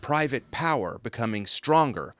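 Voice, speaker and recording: A man narrating a scripted video essay in an emphatic, grave, deliberate manner. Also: mid-range voice, very animated, noisy background. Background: music.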